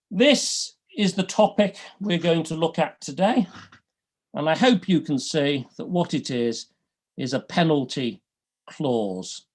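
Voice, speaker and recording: Liverpool-accent law prof lecturing slow, voice goes up and down a lot, dry jokes. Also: male voice, medium pitch, a little mellow. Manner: dry humour, deliberate, rises and falls a lot. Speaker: law professor. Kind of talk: academic lecture.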